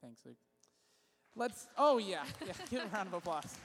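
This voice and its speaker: A man's voice: high-pitched, very animated, normal speed, a bit bright. A young man from California leading worship, casually introducing his band and then praying aloud in a loose, friendly way. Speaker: worship leader. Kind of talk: church service intro and spoken prayer. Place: church sanctuary. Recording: long reverb of a large church hall.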